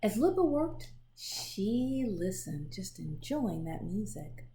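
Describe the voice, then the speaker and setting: A woman reading a children's picture book aloud in an expressive storytelling manner, pausing to show the pictures. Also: medium pitch, a little hiss or hum. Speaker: storybook reader.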